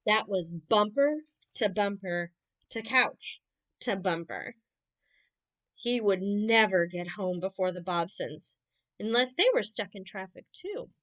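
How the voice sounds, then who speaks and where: A woman reading children's bedtime stories aloud in an expressive storytelling manner, with exclamations and asides. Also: medium pitch, slow, highs cut off. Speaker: camp unit head reading bedtime stories. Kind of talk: animated picture-book read-aloud for children.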